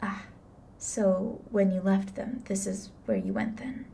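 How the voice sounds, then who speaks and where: A woman's voice: mid-range voice, big pitch swings, unhurried, dark tone, a little hiss or hum. A woman playing a blunt, flippant grim reaper in an ASMR roleplay, with an offhand, dry manner. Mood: curious, tactless. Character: blunt, flippant female grim reaper, unsentimental but curious.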